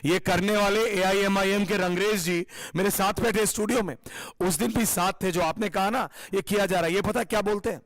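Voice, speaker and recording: Forceful high-voiced debate anchor. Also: male voice, fairly even intonation, brisk. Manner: interrupting, forceful, mixes English phrases. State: sore throat. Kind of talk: heated TV debate moderation.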